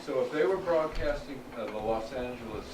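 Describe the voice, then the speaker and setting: A man with an average-pitched voice asks questions casually from the audience. He sounds distant, in an echoey room. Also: expressive, fairly quick. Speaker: audience member asking questions. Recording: quiet, distant voice in echoey room, faint noise.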